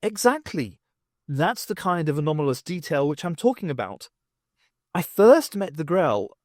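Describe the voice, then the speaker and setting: Man voicing a sharp, insistent woman, pitched up. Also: sing-song, normal speed, dry recording, clean studio sound. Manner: emphatic, questioning.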